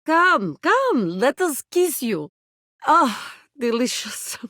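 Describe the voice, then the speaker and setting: A woman's voice: high-pitched, sing-song, slow, bright, forceful tone, dry recording, studio quality, heavily acted. A woman playing a doting, sultry Russian sweetheart in a video game, speaking tender, formal broken English with a Russian accent. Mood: adoring. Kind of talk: video game character voice acting.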